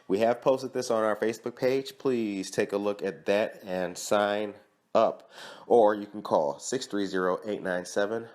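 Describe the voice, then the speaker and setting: A man hosting a morning podcast, reading community announcements and interviewing a guest in a lively, friendly way. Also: fairly low pitch, measured pace. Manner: lively, friendly, self-correcting with a laugh. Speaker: podcast host.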